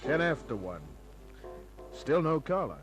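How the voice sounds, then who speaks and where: An actor playing a cool, wary private detective in an old-time radio crime drama, speaking in a terse, wry, clipped way. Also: male voice, fairly high pitch, very animated, unhurried. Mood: wry, narrating. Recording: old radio recording with faint music.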